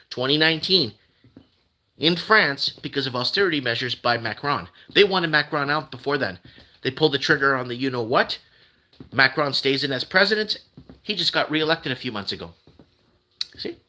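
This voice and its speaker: A man hosting a casual morning live stream, whose first language is Portuguese, talks in a bright, forceful voice pitched a bit high. He rambles, restarts, and repeats himself as he chats with viewers. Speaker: host.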